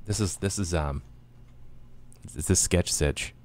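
A man's voice: deep voice, slow, a little mellow. A man chatting casually as a player in a tabletop game.

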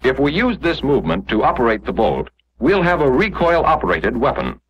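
Male narrator, American, 1940s instructional style: measured explanation, animated intonation, slightly husky tone, muffled vintage film audio. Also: medium pitch.

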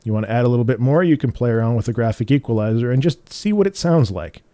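A man with a bassy voice walks through software steps in a relaxed, explanatory way, with fillers and restarts. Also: fast talker, no room sound. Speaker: tutorial host. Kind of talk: screen-recorded software tutorial.

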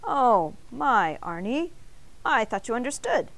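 Woman as an obliging, animated storybook baker. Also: on the high side, slow, pitched above the performer's own voice, exaggerated voice. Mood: matter-of-fact. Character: matter-of-fact, obliging male bakery owner. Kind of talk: children's storybook character voice.

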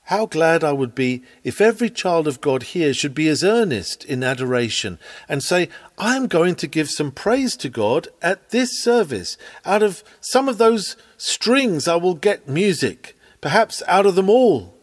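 A man reading a written sermon aloud in an earnest, oratorical manner with measured phrasing. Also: on the high side, sing-song. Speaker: sermon reader.

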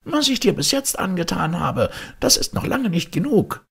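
A spiteful, quarrelsome storybook wife, acted out by a male reader. The voice is pitched far up from his own, swooping and scolding, with a sharp hiss and a rough edge. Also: big pitch swings, moderate pace, heavily acted. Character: shrill, quarrelsome, spiteful wife of a poor woodcutter. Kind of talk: storybook character voice in a folktale reading.

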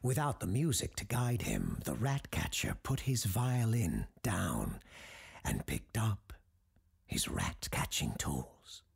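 An actor playing a grave, scholarly doctor who recounts dark legends in a horror audio drama, husky and ominous, with deliberate dramatic pauses. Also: male voice, lowish voice, huge pitch swings, heavily acted. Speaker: character host telling a tale.

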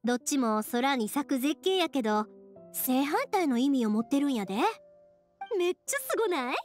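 High, cheerful Kansai girl. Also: normal speed, soft background music. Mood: earnest, passionate. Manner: animated, heartfelt, expressive. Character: cheerful, earnest young woman firework maker. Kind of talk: anime game voice acting.

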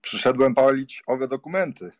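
A man's voice: mid-range voice, moderate pace, no room sound, lightly acted. A man playing a nonchalant, teasing young baron, a jaunty friend, in an audiobook drama. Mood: amused.

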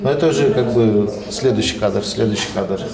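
A man in his thirties giving a casual interview, explaining his work in a halting, informal way. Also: on the low side, crowd noise. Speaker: animation artist, interviewee. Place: small office studio, indoors. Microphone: handheld TV reporter microphone. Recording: echoey room with people chattering.

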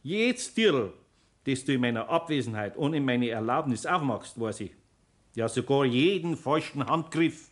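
Actor as patient Bavarian master carpenter. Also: male voice, mid-range voice, expressive, lightly acted. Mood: stern, firm. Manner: homely, deliberate, instructing. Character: patient but firm older master carpenter. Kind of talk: 1960s German radio play acting.

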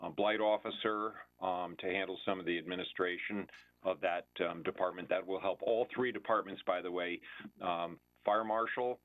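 A man with a fairly low voice explains his department's needs at a public budget hearing, pausing often with fillers and restarts. He joins by video call, and the line sounds tinny and phone-like. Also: steady tone. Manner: halting, many fillers and restarts. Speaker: building department official.

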